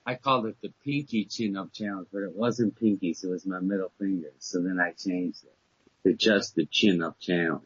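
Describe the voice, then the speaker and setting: A man's voice: sits low, moderate pace, slightly rough. A man in his fifties co-hosting a podcast, joking and bragging in casual banter. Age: 50s. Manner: boastful, joking, animated storytelling. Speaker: podcast co-host. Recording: dull lo-fi podcast audio.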